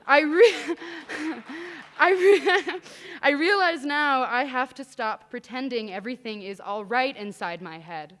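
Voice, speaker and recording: Slow, reflective woman reading aloud. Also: high-pitched, fairly bright tone. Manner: slow, reflective, deliberate delivery. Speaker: reader at a literary event. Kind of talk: reading a personal essay aloud.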